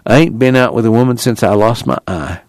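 A man voicing a bitter, self-pitying one-eyed junkyard worker with rough, plaintive country speech in an audiobook. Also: on the low side, brisk, darkish tone, no room sound, natural voice. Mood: bitter complaining. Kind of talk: acted audiobook dialogue.

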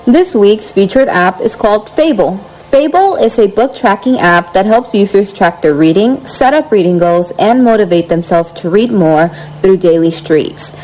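A woman reading a scripted app review segment in a smooth, even delivery. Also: mid-range voice, normal speed, few pauses, rough recording.

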